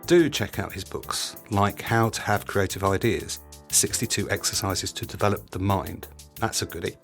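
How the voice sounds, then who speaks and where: A middle-aged British man narrating a scripted podcast as host, instructive, speaking in short deliberate phrases. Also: on the low side, steady tone, fairly bright tone, faint music behind.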